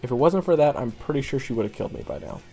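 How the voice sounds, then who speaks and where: A man playing a young monster-hunting swordsman in an audio roleplay, talking casually and haltingly, wry and wounded, with self-deprecating asides. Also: medium pitch, big pitch swings, creaky, dark tone, breathy edge, faint music behind, full character voice. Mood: wry, explanatory. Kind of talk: conversational audio roleplay monologue.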